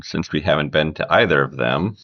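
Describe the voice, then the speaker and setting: A man's voice: very deep voice, fairly quick, dull audio. A middle-aged American man giving brief, laconic answers as a guest on a casual podcast. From US West Coast.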